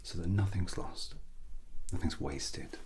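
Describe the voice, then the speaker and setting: A British man softly giving an ASMR English lesson as a teacher, breathy and often near a whisper, with an awkward, self-deprecating, gently encouraging manner. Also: sits low, takes it slowly, noisy background. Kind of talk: softly spoken ASMR grammar lesson roleplay.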